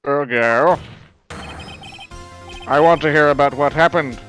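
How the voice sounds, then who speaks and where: A man voicing a proud, formal but flustered male prosecutor in a game fan dub, halting and stiffly pompous. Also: fairly high pitch, a bit bright, faint music behind. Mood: stiff, awkward.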